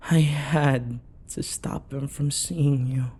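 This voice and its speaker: Casting: man to play possessive dating-sim lover, dark soft voice, slow coaxing delivery, sinister sweetness, whispery ASMR intimacy. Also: mid-range voice, fairly even intonation. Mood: explaining, coaxing. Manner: soft, coaxing endearments, breathy sighs and whispers. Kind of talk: intimate ASMR roleplay acting.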